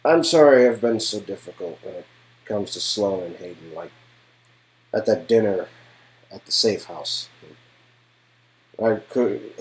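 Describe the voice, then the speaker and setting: A man acting out a guarded adult man from a game, protective and wry, who opens up in halting, earnest confessions. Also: lowish voice, a little mellow, rough recording. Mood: apologetic. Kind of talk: dramatic game dialogue performance.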